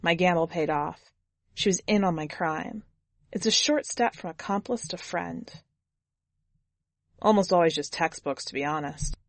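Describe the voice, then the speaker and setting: A woman's voice: lowish voice, a little slow, pauses often, dry recording. A woman narrating in the first person as a jaded, blunt twenty-year-old drifter, wry and foul-mouthed, in an audiobook story.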